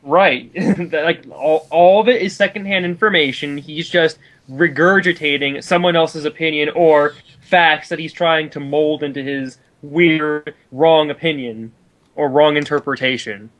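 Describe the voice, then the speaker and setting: A man giving casual, critical commentary as a guest, with a halting delivery. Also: on the high side, fairly even intonation, a bit bright.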